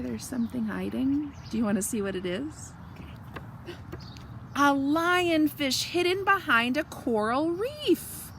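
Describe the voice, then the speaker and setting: Slow, animated woman reading to children. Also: high-pitched, slight background noise. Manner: gentle, explanatory, questioning young listeners. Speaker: children's story reader. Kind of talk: read-aloud picture book with asides to children.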